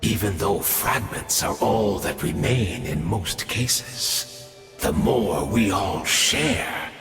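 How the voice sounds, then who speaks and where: A gravelly, grandiose exiled villain. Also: male voice, low-pitched, expressive, slow pace, a bit bright, heavily acted. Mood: scheming calm. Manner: theatrical, polished menace. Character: scheming male exile villain, grandiose and smug. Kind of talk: video game dialogue. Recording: echoey reverb with game music.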